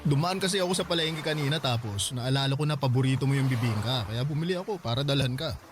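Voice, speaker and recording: A man's voice: mid-range voice, animated, fast talker, lightly acted. Guy acting flirty filipino suitor, teasing, medium voice, radio drama w/ music under. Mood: playful, sweet. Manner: teasing, chatty.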